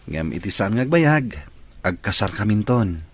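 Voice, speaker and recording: A man's voice: fairly low pitch, big pitch swings, unhurried, full character voice. A man playing a devoted, sentimental young boyfriend in an Ilocano audio drama. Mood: earnest.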